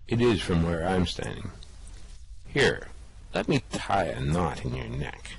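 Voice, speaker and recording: A man's voice: sits low, big pitch swings, a little slow, creaky, slightly rough, no room sound, full character voice. A man playing a brash, laid-back male space adventurer who talks in a slangy, cocky drawl in an amateur radio-play reading.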